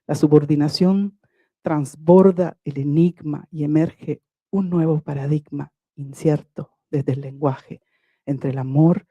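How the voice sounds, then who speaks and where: A woman poet reading a prepared talk about her book in a measured, lyrical and reflective way. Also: sits low, mellow, no room sound.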